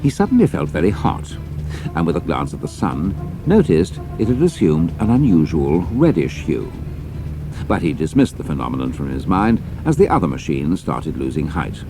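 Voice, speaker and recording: A man's voice: very deep voice, very animated, normal speed, music in the background. A British man narrating a scripted adventure story as an audiobook, with precise storytelling delivery.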